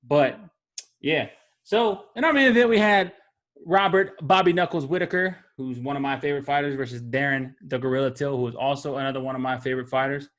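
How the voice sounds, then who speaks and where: A man's voice: medium pitch, fairly quick, fairly bright tone. Laid-back man talking fights. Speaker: podcast co-host. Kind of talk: casual sports chat.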